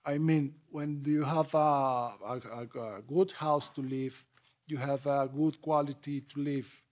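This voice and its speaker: A man with a Spanish accent giving remarks as a panel speaker in halting, repetitive, non-fluent English. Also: fairly high pitch, steady tone, a little mellow. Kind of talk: impromptu remarks at a public panel.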